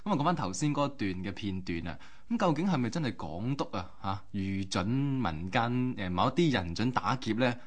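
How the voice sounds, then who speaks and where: Lowish-voiced chatty Hong Kong man. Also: moderate pace, few pauses. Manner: colloquial, chatty, expressive particles. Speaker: radio co-host. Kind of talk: conversational presenting.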